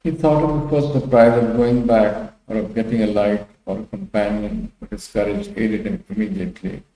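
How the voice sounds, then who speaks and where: A man's voice: on the low side, expressive, normal speed, warm tone, echoey room, rough recording. A man narrating a classic literary story as a dramatic audiobook reading.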